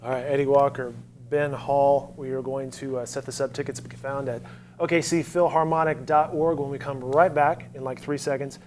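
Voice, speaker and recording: A man's voice: medium pitch, flat delivery, normal speed, a little mellow. A man hosting an interview in a conversational broadcast style, prompting guests with questions. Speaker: interview host. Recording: faint background noise with hum.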